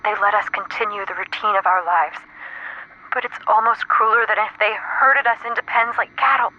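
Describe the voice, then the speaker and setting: An actress playing a frightened, devoted woman in a retro radio drama, speaking haltingly through an old telephone filter. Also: medium pitch, fairly even intonation. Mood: distressed. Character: frightened, devoted woman, a sweetheart trapped under alien occupation. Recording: compressed narrow-band line with static noise.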